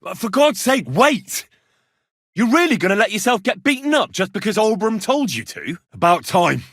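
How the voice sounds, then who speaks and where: Young medieval squire from a video game, raspy edge, blunt and hot-headed, very dry recording. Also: male voice, high-pitched, sing-song, moderate pace, fairly bright tone, dry recording, full character voice. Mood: exasperated. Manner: blunt, colloquial, assertive. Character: brash young medieval Bohemian squire, plain-spoken and quick-tempered. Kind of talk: video game dialogue acting.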